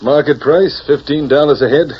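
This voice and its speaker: A western businessman who stays cool and shrewd. The voice sits a little high and is rough and husky. It sounds like a dull old radio broadcast. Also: moderate pace. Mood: firm, dry. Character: shrewd, unflappable western male cattle buyer. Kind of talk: 1940s radio western acting. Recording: muffled 1940s radio recording.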